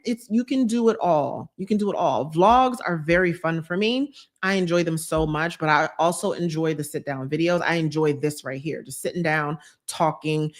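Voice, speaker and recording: A young woman from New Jersey, a YouTube creator, chatting on a live stream and reading comments, emphatic and repeating her advice. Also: low voice. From United States, New Jersey.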